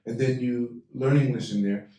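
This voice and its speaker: A man hosting a podcast, pressing his guest with quick follow-up questions and cutting in often. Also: mid-range voice, steady tone, mellow. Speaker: podcast host. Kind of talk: probing interview. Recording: echoey room, distant mic.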